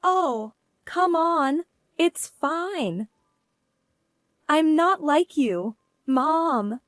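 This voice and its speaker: A woman voicing a cheerful, reassuring young college daughter with a bright, girlish lift. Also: high-pitched, lively intonation, very slow, no echo, studio quality, voice pushed up, exaggerated voice. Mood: teasing. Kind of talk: scripted narration.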